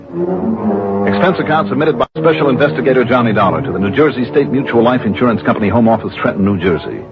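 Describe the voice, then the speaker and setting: An actor as a wry radio detective, lively delivery. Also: male voice, mid-range voice, fast, lightly acted. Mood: matter-of-fact narrating. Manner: wry, teasing, self-assured. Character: wry, breezy, confident freelance insurance investigator. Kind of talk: 1950s-60s radio drama acting and narration. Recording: dull old radio recording, music behind.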